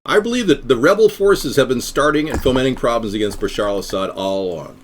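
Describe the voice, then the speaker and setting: Man, middle-aged, from the US, sardonic, rambling live-stream host, lively up-and-down voice. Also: medium pitch, fast talker. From United States. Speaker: third-party candidate hosting a live stream.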